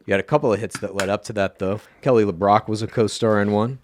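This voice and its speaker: A man's voice: on the low side, fairly even intonation, fast talker, slight background noise. A man hosting a mock talk-show interview, playfully incredulous and often breaking into laughs. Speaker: comedy podcast host playing interviewer.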